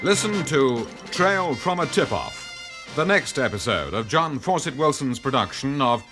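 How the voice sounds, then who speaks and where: A man's voice: mid-range voice, expressive, measured pace. A man announcing the credits of a radio drama in a scripted style. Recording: loud theme music under voice. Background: loud music.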